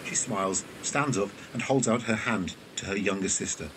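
A man's voice: fairly low pitch, normal speed, background noise. A man narrating an audio drama, reading out scripted stage directions. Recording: distant mic, faint ambience.

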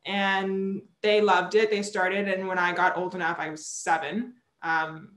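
A woman's voice: fairly low pitch, flat delivery, unhurried, a bit bright. A young woman from Barbados, a sailor, chatting as a guest in a remote video interview, explaining with gestures and laughing often. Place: indoor room at home. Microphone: video call. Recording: roomy video call audio.

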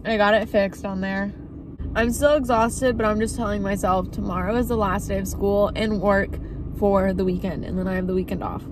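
A woman's voice: medium pitch, steady tone, moderate pace. A young woman, vlogging casually to a phone camera from her car, sounding tired and congested. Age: young adult. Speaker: vlogger, cosmetology student. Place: parked car by a road. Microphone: phone camera on the dashboard. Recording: phone audio with clear traffic noise. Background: traffic noise outside.

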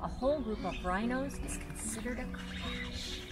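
A woman's voice: on the high side, moderate pace, loud music behind the voice. An older woman, a zoo guide, reads a rhyming picture book aloud outdoors by a pond in a sing-song storytime manner.

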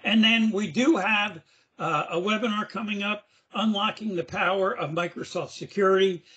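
Bright-voiced man presenting a webinar. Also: high voice, moderate pace, highs cut off. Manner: loose and chatty, with fillers. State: losing his voice, hoarse. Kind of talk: casual screen-share demo. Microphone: video call.

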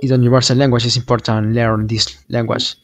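Ein junger Mann, dessen Muttersprache Spanisch ist und der in einem kleinen Zimmer locker in ein Handmikrofon Fremde nach ihren Sprachen fragt. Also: male voice, medium pitch, little pitch movement. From Peru. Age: young adult. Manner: friendly, asks quick questions. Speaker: host. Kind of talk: casual video chat with strangers. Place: small room indoors. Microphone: handheld microphone.

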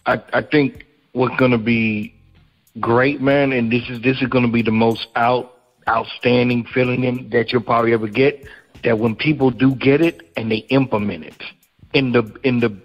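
A man's voice: medium pitch, fairly even intonation, moderate pace. Rambling male interviewer, lo-fi. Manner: rambling, searching for words. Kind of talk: casual interview conversation. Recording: dull lo-fi audio with faint music.

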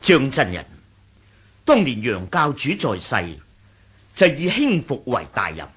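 Fervently patriotic sect commander, Hong Kong Cantonese radio drama. Raspy, very animated, oratorical. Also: male voice, medium pitch, a little slow, heavily acted. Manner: oratorical, formal.

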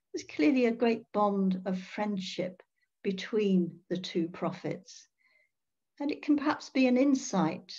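An older woman giving a reflective sermon in a measured way, pausing often and posing questions to her listeners. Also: medium pitch, a little mellow. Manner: measured, with pauses and rhetorical questions. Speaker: priest preaching. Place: indoor room at home. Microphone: computer mic on a video call. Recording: dull, muffled video-call audio.

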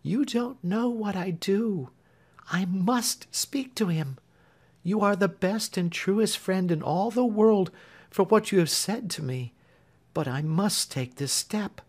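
Soft-spoken, resolute young lady in a storybook, raised voice, put on by a male reader. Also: sits high, takes it slowly, pitched above the performer's own voice. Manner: soft, quiet, firm. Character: gentle, resolute young woman.

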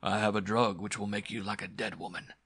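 A man's voice: on the low side, little pitch movement, brisk, fairly bright tone, husky, no room sound. A man voicing a brutal, scheming male villain in a throaty, guttural, boastful way for an adventure story. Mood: menacing. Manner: boastful, menacing.

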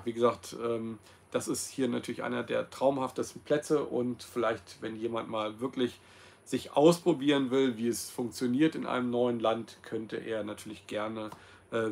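A man in his fifties speaks at a middling pitch, talking straight to his audience in a loose, unscripted way. He hesitates often, fills gaps with ums and corrects himself mid-sentence. The sound is close and plain, like a laptop camera in a small, cosy room. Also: gravelly, a little mellow. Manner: rambling, many ums and self-corrections. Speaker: host of his own channel. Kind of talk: casual livestream monologue.

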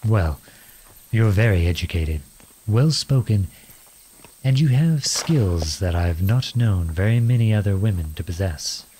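A man playing a gentle, chivalrous young outlawed prince in a romantic fantasy audio roleplay, speaking in formal storybook phrases. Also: lowish voice, animated, measured pace, a little hiss or hum, poor audio. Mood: gently curious. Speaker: ASMR roleplay voice actor. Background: horse hooves clip-clopping.

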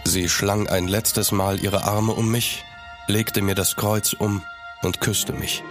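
A low-voiced gentleman storyteller. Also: little pitch movement, music in the background. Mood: grave, reflective. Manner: measured literary storytelling. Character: gentleman traveller recounting his own eerie journey. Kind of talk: scripted first-person audio drama narration.

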